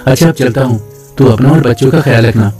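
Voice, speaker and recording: A man playing a gentle, patient, affectionate young brother in a folk tale, soft-spoken. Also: medium pitch, fast talker, faint music behind, close to an everyday voice. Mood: calm, caring.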